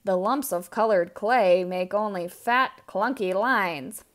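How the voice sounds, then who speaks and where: A woman's voice: medium pitch, slow, natural voice. A woman voicing fed-up townspeople complaining in a picture book, exasperated and emphatic, drawing out words. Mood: exasperated complaint.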